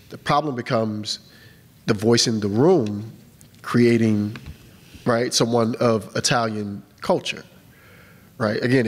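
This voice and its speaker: Guy on a film panel, chatty and emphatic, lots of "right?", big hall reverb. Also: mid-range voice. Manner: conversational, emphatic, frequent "right?". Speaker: panelist, casting and film producer. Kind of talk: panel discussion answer.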